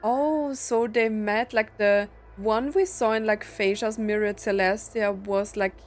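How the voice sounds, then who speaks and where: A woman's voice: medium pitch, steady tone, a little slow, soft background music. A woman doing casual gameplay commentary, thinking aloud in a hesitant, musing way. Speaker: streamer reacting to a game.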